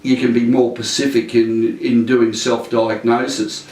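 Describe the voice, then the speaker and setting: An older man with a loud voice talks in a flat, steady tone, rambling through his answers with lots of "uh" and restarts. He sits some way from the mic in an echoey room. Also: mid-range voice, moderate pace, far from the mic. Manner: rambling, many fillers and restarts. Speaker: interviewee. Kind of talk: casual interview.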